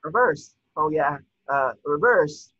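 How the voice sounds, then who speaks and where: A man playing an eager, naive cartoon sea sponge fry cook in a table reading, earnest and excitable. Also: on the high side, takes it slowly, dull audio. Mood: nervous.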